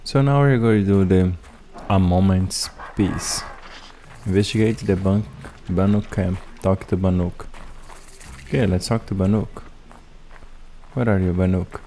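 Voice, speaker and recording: Deep-voiced man commenting on gameplay. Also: a little hiss or hum. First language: Portuguese. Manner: chatty, halting English with restarts. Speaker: gameplay host. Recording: voice-over with loud game music.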